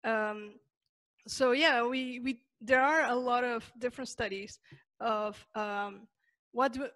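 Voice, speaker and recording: A woman's voice: fairly high pitch, takes it slowly, a bit bright, dry recording. Peruvian woman presenting a webinar, fillers. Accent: Peruvian Spanish. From Peru. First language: Spanish. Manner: thoughtful, many fillers and restarts. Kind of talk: online lecture with slides.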